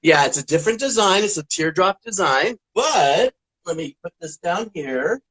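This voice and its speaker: A man's voice: high-pitched, lively intonation, normal speed, a bit bright, breathy edge. A man talking casually to children as a guest puppeteer and musician, explaining in simple steps. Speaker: guest puppeteer and ukulele player.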